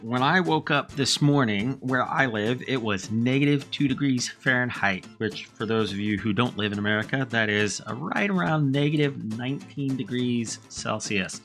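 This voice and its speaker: Bright-voiced man hosting a game playthrough. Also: mid-range voice, moderate pace, few pauses, soft background music. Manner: friendly, relaxed narrating. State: slight head cold. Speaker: let's play host. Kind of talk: gameplay commentary.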